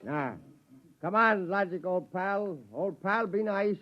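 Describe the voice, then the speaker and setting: Tipsy barfly, high voice, slurred, whining, maudlin, radio comedy acting, dull vintage recording. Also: male voice, slow, slight background noise. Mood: wheedling. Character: whining, pleading drunken barfly husband, sloppy and sentimental. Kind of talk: old-time radio acting.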